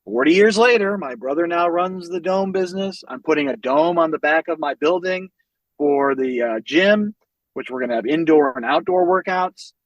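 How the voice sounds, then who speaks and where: Animated, swearing man telling family stories. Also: fairly high pitch, normal speed, dry recording, highs cut off. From United States, Northwest Indiana. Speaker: podcast guest. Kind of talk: casual storytelling conversation.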